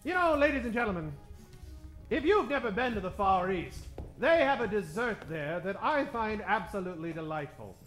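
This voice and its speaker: An actor playing a grand old-time radio announcer, narrating a serial in florid, dramatic style. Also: male voice, high voice, very animated, normal speed, a bit bright, soft background music. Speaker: narrator and host. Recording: roomy stage recording.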